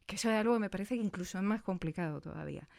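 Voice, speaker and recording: Female interviewer, Spain accent, mid-pitched voice, chatty and enthusiastic delivery, frequent tag questions. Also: racing, gravelly, darkish tone. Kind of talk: conversational interview.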